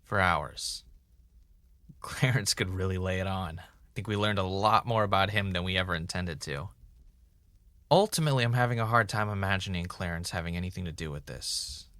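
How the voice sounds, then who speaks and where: Bright-voiced, low-pitched wry male podcaster. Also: normal speed, no echo. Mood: musing. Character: male ex reality-show contestant turned investigative podcaster, wry, dramatic. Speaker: podcast host. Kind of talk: naturalistic audio drama acting, conversational narration.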